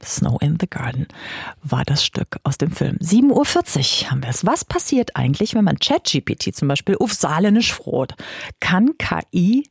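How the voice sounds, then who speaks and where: A radio host with a husky, fairly low voice keeps the interview moving with chatty questions and brief interjections. She talks close to a studio microphone in a dry, dead room. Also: very animated, moderate pace, dry recording. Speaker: radio morning show host.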